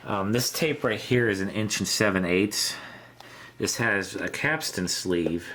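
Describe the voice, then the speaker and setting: A middle-aged man giving a casual, unscripted show-and-tell in a halting, matter-of-fact way. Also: on the low side, fairly bright tone. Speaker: vintage electronics hobbyist presenter.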